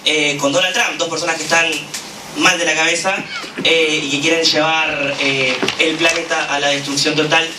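A man with an Argentine accent giving an impassioned political speech at a gathering, full of "eh" pauses. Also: fairly high pitch, level tone. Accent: Argentine Spanish. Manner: fervent, with frequent "eh" fillers. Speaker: activist speaker at a political gathering. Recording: echoey room, from a distance, noisy.

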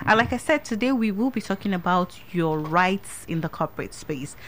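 Brief: young Nigerian female radio host, small light voice, lowish pitch, chatty, faint studio hiss. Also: animated, normal speed, low-quality recording. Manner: chatty, laughs often. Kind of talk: casual radio talk.

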